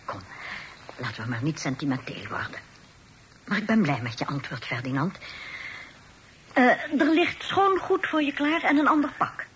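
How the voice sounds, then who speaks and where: Lively, gently firm Dutch radio-play mother. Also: medium pitch, normal speed, long pauses. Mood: tender, earnest. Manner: motherly, soothing. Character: caring, gently firm mother of a grown son. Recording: noisy old radio drama recording.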